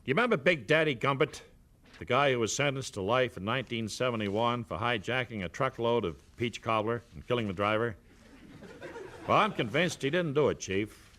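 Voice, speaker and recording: A man's voice: mid-range voice, lively intonation, moderate pace, a bit bright, lightly acted. A man playing an earnest small-town crime reporter pleading his case, in a radio comedy sketch.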